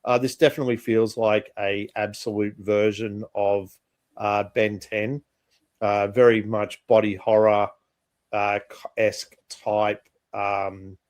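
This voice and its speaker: A male podcast co-host in casual chat about comics, easygoing, with quick agreements and stammered restarts. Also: fairly low pitch, level tone, a little mellow, dry recording.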